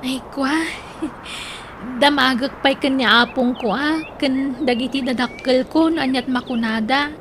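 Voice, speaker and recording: A shy Filipino schoolgirl of seventeen in a radio comedy. The voice is very high, hesitant and questioning, with faint noise behind it. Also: measured pace, a bit bright. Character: shy, hesitant seventeen-year-old schoolgirl being courted. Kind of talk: comedic Ilocano radio drama acting.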